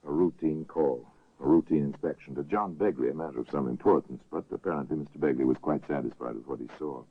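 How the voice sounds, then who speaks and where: A man narrates a 1950s radio mystery slowly and gravely, his voice extremely deep with a husky edge. It comes through a tinny, narrow restored broadcast. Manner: slow, grave and theatrical. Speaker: host and narrator.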